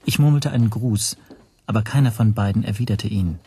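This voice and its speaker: An actor playing an anxious man who narrates his own story in a radio drama, measured and introspective. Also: fairly low pitch, warm tone, a little hiss or hum, natural voice. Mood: brooding. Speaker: first-person narrator.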